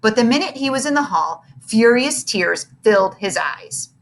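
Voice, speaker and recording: A woman reads a children's chapter book aloud, telling the story expressively. Her pitch moves a lot, bouncing up and down through the sentences. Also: expressive, normal speed. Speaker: narrator.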